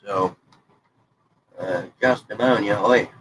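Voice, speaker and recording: A man's voice: lowish voice, slow pace, a bit bright, a little hiss or hum, low-quality recording. A congested man in his forties, chatting in a folksy, rambling way while he works on a crafting project. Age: 40s. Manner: folksy, rambling, self-correcting. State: congested, stuffed-up nose. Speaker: hobby crafting vlogger.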